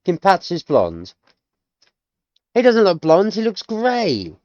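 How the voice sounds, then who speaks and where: British man, 30s, casual hesitant self-study commentary; smooth tone, dry dull recording. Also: sits high, wildly swooping pitch, takes it slowly, darkish tone, dry recording. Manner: rambling, hesitant, full of restarts. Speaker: self-taught language learner streaming. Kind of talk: casual thinking-aloud commentary.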